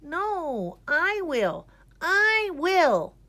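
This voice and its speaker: A woman's voice: extremely high pitch, big pitch swings, drawn-out words, bright, forceful tone, voice pushed up, heavily acted. A woman voicing an eager, bossy young girl groundhog twin, speaking emphatically in a storybook. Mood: excited. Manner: urgent, emphatic.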